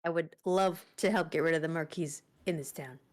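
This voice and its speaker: A woman's voice: low voice, fairly quick, darkish tone. A woman playing in a tabletop game, playful and easygoing. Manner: playful, laughs easily. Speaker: player. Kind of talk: casual tabletop play.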